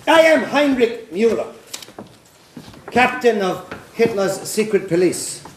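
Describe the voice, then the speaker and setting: A man plays a Nazi secret police captain on stage, grandiose and taunting. He draws his words out slowly at a high pitch. The room echoes around him with some faint noise. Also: sing-song, heavily acted. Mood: menacing, boastful. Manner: deliberate, mocking, grandiose. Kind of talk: stage play acting.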